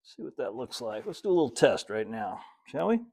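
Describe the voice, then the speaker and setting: A man, narrating a hands-on hobby tutorial in a relaxed, folksy way, as if thinking aloud while he works. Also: mid-range voice, fairly quick, vocal fry. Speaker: hobbyist presenter of model railroad build videos.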